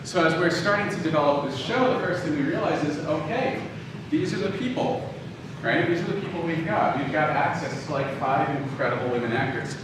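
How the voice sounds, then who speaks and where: A man's voice: a little high, lively intonation, fairly quick. Echoey, distant man giving an informal talk. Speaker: audio drama producer giving a lecture. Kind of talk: informal lecture, personal storytelling. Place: live talk in a reverberant room. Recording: echoey room from far off, clear noise.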